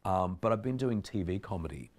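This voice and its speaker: Deep-voiced man, forties, actor guest, animated storytelling, frequent laughs, indoor interview. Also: a little fast. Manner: animated, laughs often, gestures with his hands. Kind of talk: casual interview chat. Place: living room with bookshelves, indoors.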